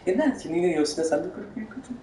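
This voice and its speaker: Rapid-fire casual chatter, off-mic in an echoey room, loud music. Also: medium pitch, animated, recorded from a distance, a little hiss or hum. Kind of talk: casual chat.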